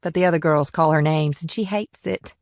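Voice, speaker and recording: A woman's voice: low voice, a little fast, darkish tone, no room sound. A well-off married mother in an audiobook thriller, acted by a woman, arch and breezy with a defensive edge. The recording is dry, with hardly any room sound. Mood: offhand.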